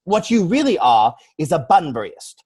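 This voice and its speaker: A man playing a witty, flippant young English gentleman in a stage comedy reading, declaiming with pompous mock seriousness. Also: a little high, very animated, moderate pace, slightly rough. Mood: smug, teasing.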